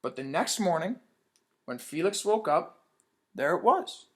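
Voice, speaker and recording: A man's voice: sits high, sing-song, a little slow. Upbeat male teacher quizzing children. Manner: upbeat, asks the class questions and praises answers. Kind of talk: read-aloud lesson for children.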